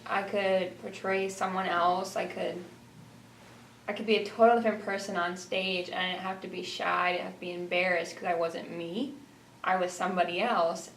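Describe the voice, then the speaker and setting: A teenage girl talks casually as a student actor being interviewed. Her pitch is middling. The room echoes strongly and the microphone is some way off, with light noise. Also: fairly bright tone. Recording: echoey room, distant mic, faint noise.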